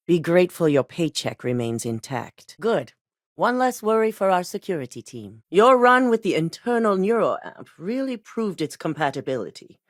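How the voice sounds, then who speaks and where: A woman's voice: on the low side, no echo, studio quality. A woman voicing a cool, exacting female corporate executive in recorded game dialogue, speaking in a clipped, controlled, coolly authoritative way. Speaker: game character.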